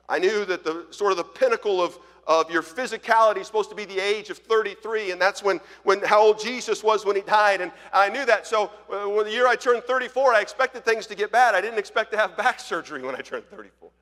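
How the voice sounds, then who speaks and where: Male pastor, 40s, from Colorado, sermon, chatty storytelling, bright tone, echoey hall. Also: high voice, fast talker, hardly any pauses. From Colorado, USA. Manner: storytelling, conversational, swings from loud to hushed. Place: church.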